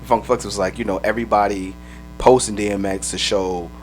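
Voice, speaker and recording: A man co-hosting a podcast, telling loose, profane stories in casual chat, his voice a little raspy. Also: lowish voice, steady tone, soft background music. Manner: fast, loose, profane storytelling. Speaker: podcast co-host.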